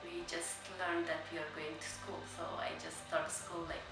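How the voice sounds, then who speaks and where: A woman in her twenties, a college student, telling her story in an interview with many pauses and fillers. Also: deep voice, monotone, distant mic. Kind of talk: personal interview. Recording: echoey room from afar, music bed.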